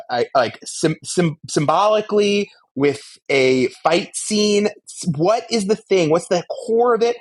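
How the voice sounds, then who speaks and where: A man co-hosting a podcast, giving casual but articulate critique in long, emphatic explanations. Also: on the high side. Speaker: podcast co-host. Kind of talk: casual media discussion and critique.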